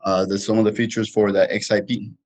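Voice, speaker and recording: Guy doing a tech webinar, deep kinda monotone voice, keeps restarting and correcting himself as he explains. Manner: halting, repetitive explaining with restarts and self-corrections. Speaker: product trainer presenting a webinar. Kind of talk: informal technical slide presentation.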